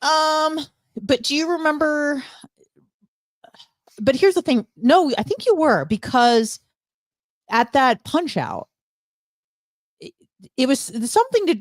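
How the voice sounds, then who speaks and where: A woman's voice: fairly high pitch, dry recording, studio quality. A woman co-hosting a radio show, chatting casually and telling candid, gossipy stories with rambling asides.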